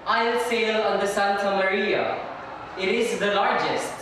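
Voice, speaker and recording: A determined explorer played by a young woman declares lines slowly in a student stage play. The voice is distant and very echoey, with noise around it. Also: mid-range voice, natural voice. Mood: confident. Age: young adult. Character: determined male explorer, Christopher Columbus. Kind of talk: amateur stage readers' theater. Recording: distant mic, very echoey noisy room.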